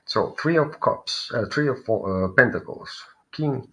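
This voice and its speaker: A man's voice: mid-range voice, expressive, unhurried, pushed and bright. A man with a Finnish accent giving live tarot readings to viewers, thinking aloud and often searching for his words. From Finland. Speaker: tarot reader.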